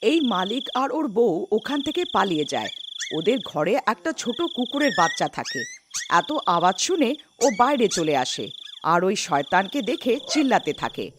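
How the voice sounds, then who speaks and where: A woman's voice: medium pitch, big pitch swings, normal speed, noisy background. A woman narrating a scripted cartoon folk tale as a storyteller.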